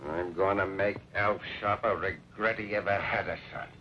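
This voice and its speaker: An actor playing a vengeful, bullying outlaw boss in an old western radio drama, curt and commanding. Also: male voice, deep voice, steady tone, moderate pace, slight background noise, natural voice. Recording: muffled old radio recording.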